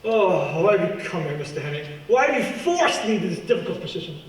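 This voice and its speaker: An actor playing a polite, menacing villain professor in a radio play, with a genteel, theatrical, mock-regretful way of talking. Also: male voice, high-pitched, big pitch swings, fast. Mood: silkily menacing. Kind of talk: stage-like radio play acting. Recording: distant, very echoey, noisy room.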